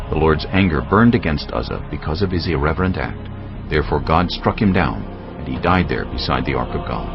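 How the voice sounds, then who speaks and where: A man narrating scripture in a solemn, measured storytelling style, with a gravelly voice. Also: very deep voice. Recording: dull, lo-fi audio. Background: faint music.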